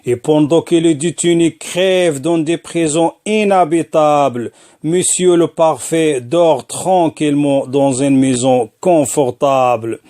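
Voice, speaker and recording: A man recites a rhymed spoken-word poem in a deliberate, declamatory way, line by line. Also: on the high side, warm tone. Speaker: poet, former prisoner.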